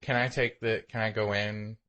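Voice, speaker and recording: A man's voice: on the low side, level tone, normal speed, fairly bright tone, lo-fi sound. A man co-hosting a podcast, telling stories in a casual, sarcastic way and swearing freely. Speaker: podcast co-host. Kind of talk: casual chat, anecdotes.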